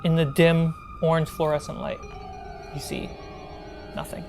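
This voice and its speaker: A woman's voice: low voice, level tone, measured pace, a little mellow, soft background music. A woman running a tabletop game as game master, describing scenes in a casual, improvised way.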